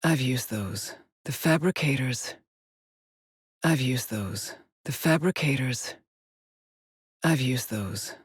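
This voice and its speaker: Husky, haunted space explorer. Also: female voice, a bass voice, expressive, no room sound, studio quality, full character voice. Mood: somber, resolute. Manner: measured, solemn, haunted, often breathy. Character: weary, determined lone female space explorer haunted by memories. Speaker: video game voice actor. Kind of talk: dramatic game voice lines, short log entries.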